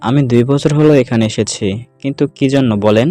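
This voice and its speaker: A man acting as a kindly, watchful man of the household in an audio story. His voice is mellow and mid-pitched, with faint music behind. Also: moderate pace, natural voice. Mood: courteous, kindly. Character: kindly, watchful men of household: gatekeeper, elder brother.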